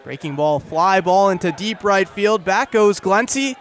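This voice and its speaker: A man's voice: sits high, animated, measured pace. A young man doing live radio baseball play-by-play, with vivid descriptive calls that rise with the action. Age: young adult. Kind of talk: live radio sports commentary. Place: outdoors at a ballpark.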